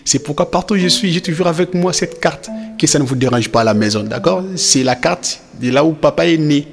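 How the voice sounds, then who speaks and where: A man's voice: a little high, fairly quick, gravelly, faint music behind. A man playing a reassuring father in a cartoon, explaining things patiently and a little hesitantly to his children. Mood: fond. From Democratic Republic of the Congo. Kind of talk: animated film dialogue, semi-improvised explanation.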